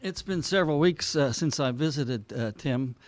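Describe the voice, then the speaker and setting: A man from Ohio with an American accent, giving an informal, halting and meandering account to club members. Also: a little high, animated, no room sound. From Ohio, United States. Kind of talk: informal anecdotal report.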